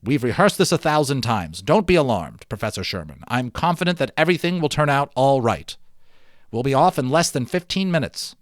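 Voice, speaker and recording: A man's voice: medium pitch, big pitch swings, fairly quick, dry recording, studio quality, natural voice. A man voicing a commanding male island leader in a storybook, emphatic and authoritative. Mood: firm, reassuring. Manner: emphatic, authoritative, projecting orders. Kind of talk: dramatic storybook reading.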